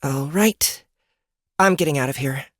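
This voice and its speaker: An exasperated woman adventurer in a fantasy audiobook, put on by a man. The voice is raised in pitch, sarcastic and grumbling, recorded close and dry. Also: measured pace, fairly bright tone, no echo. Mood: sarcastic, fed up. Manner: sardonic, grumbling.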